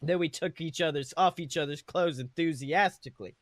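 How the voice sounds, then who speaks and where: A man's voice: fairly high pitch, fairly quick, no room sound. A man hosting a podcast, reading fan fiction aloud in an amused, theatrical, mocking way. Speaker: podcast host.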